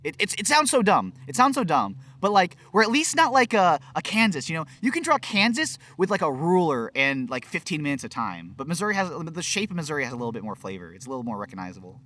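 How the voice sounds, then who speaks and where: A young man from China giving a casual interview, quick and animated, with a self-mocking humor. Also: on the high side. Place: outdoor festival.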